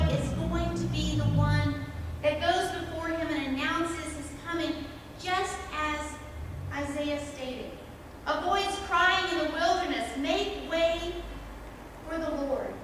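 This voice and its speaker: A woman playing Elizabeth, a devout and earnest expectant mother, in a deliberate, emphatic first-person church monologue. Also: extremely high pitch, heavily acted. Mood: awed, proclaiming. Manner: deliberate, emphatic, with long pauses. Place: church sanctuary. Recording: echoey church hall, distant mic, background noise.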